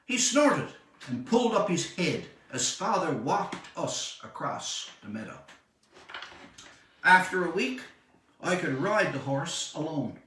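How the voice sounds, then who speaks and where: A man reading his own literary short story aloud in a measured, deliberate way, with expressive emphasis and dramatic pauses. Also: fairly high pitch. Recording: echoey room, distant microphone.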